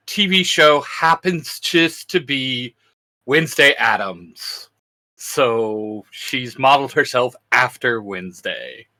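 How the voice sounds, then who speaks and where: A man co-hosting in casual chat, talking haltingly and often correcting himself. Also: medium pitch, sharp tone. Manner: hesitant, halting, self-correcting. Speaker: co-host.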